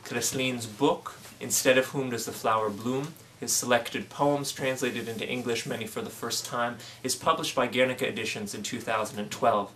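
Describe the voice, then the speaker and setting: A man conducts an interview in a formal, measured way, reading a prepared introduction before asking polite questions. His voice is mid-pitched, with faint background noise. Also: fairly even intonation, fairly bright tone. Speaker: interviewer, author.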